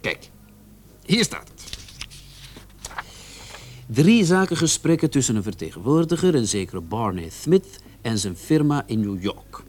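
An eager pushy detective in a radio drama, voice goes up and down a lot, really emphatic. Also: male voice, mid-range voice, big pitch swings, measured pace, long pauses, slight background noise. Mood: teasing, suspenseful. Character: keen, insistent male police detective, the sergeant's subordinate. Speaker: actor in a detective radio play. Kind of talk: Dutch-language radio drama dialogue.

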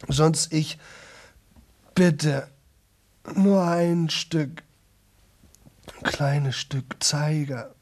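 An actor playing a young man in a radio play, exhausted and desperate, with a sore, rough throat, talking on in halting, repeated fragments. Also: fairly high pitch, darkish tone, full character voice. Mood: pleading, broken. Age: young adult. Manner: halting, repetitive, broken fragments. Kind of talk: 1970s German radio play monologue.

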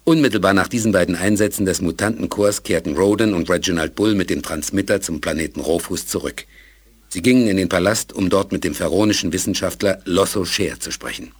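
A man with a husky voice narrating a German audio drama from a script. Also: sits low, brisk.

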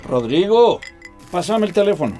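A man's voice: high-pitched, big pitch swings, normal speed, a little mellow, soft background music. An actor playing a haughty, scornful middle-aged businessman in a radio drama, bossy and condescending.

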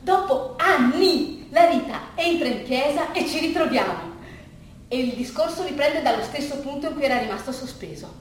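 A woman performing a dramatic theatrical monologue as narrator, with emphatic repeated phrases and deliberate pauses. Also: high voice, lively intonation. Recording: echoey room, distant mic, faint noise.

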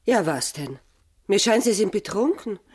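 A woman's voice: medium pitch, big pitch swings, moderate pace, darkish tone, husky. A woman playing a cool, unimpressed lady in a radio drama, speaking matter-of-factly. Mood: mildly irritated. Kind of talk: naturalistic radio drama acting.

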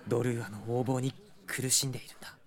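An actor playing an earnest, gentle young hero prince in a fantasy audio drama. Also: male voice, medium pitch, flat delivery, fast talker, lightly acted. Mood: sombre.

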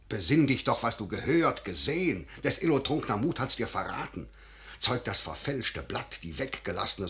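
A man's voice: mid-range voice, sharp tone. An actor playing a grave, calculating older father and general in a classical radio verse drama, with solemn, emphatic declamation. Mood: earnest, persuading.

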